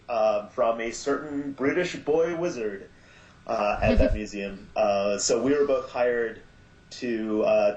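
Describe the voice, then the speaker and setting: A man being interviewed as producer and director of an audio drama, talking in a halting way with many fillers and restarts. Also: on the low side. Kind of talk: casual podcast interview. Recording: echoey, dull lo-fi sound.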